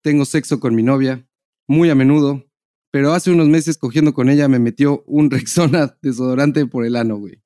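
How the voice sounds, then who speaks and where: A Mexican man with a mellow, soft-edged voice at a middling pitch co-hosts a podcast. He chats casually and reads listener messages aloud, with crude, slangy humor. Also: fairly even intonation, moderate pace. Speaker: podcast co-host.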